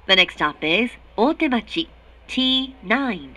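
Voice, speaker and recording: A woman reading formal recorded train announcements in a measured, clearly articulated way. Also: on the high side, animated, pushed and bright. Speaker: English-language train announcer. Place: recorded announcement played inside subway car.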